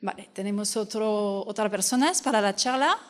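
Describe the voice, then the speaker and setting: A woman's voice: mid-range voice, moderate pace. A woman from France with a French accent, speaking Spanish as a non-native and giving an earnest conference talk as a fertility coach. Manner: earnest, explanatory, with frequent restarts. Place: hall at a fertility fair. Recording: hall reverb.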